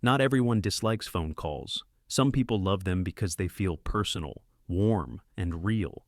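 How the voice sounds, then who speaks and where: Low-voiced man narrating an explainer. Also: expressive, unhurried, dry recording, studio quality.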